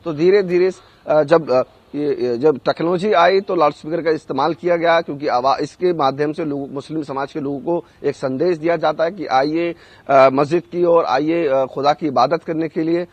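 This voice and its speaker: A male news correspondent reports live. His voice is somewhat high for a man, and he speaks haltingly, often pausing to find the next word. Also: dry recording. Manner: halting, searches for words with frequent hesitations.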